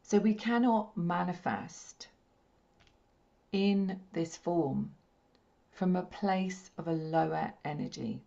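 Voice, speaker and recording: A woman with a slightly hoarse, croaky voice speaks slowly and gently, repeating her points in a soothing way as she leads a spiritual healing session. Her voice sits low with a gravelly edge. The live-stream audio sounds dull and lo-fi. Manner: gentle, repetitive, soothing delivery. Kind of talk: slow meditative teaching talk.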